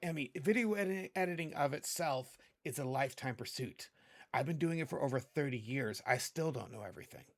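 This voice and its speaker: A middle-aged man with a hoarse voice hosting a casual video editing tutorial, chatty and rambling, often correcting himself. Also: on the high side. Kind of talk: casual screen-recorded tutorial.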